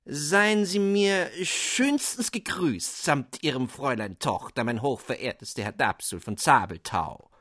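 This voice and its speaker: A man voicing a tiny, courteous male envoy in a storybook reading, with a flowery, drawn-out, formal manner. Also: mid-range voice, expressive, fairly bright tone, dry recording, studio quality. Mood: courteous, ceremonious.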